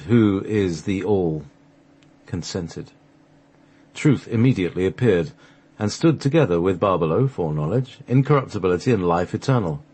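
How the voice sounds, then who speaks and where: A man narrating a sacred text for a radio play, halting at times as he reads from the script. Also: deep voice, darkish tone, husky. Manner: halting, stumbles over the script and restarts.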